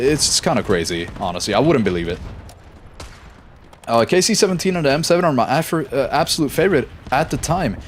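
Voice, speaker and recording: A young man live-streaming a shooter game, chatting casually with his viewers and reacting quickly during fights. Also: medium pitch, slight background noise. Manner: easygoing, chatty, reads chat aloud, quick reactions mid-fight. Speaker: gaming streamer. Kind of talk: casual live-stream chat while playing.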